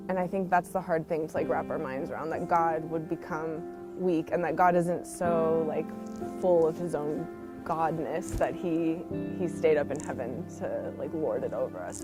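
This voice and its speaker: A woman missionary teacher giving an earnest, informal personal testimony in a conversational way. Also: lowish voice. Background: background music.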